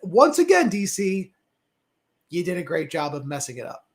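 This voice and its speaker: A man's voice: high-pitched, huge pitch swings, normal speed. A man giving opinionated, conversational takes as a panelist in a casual movie discussion. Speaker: podcast panelist.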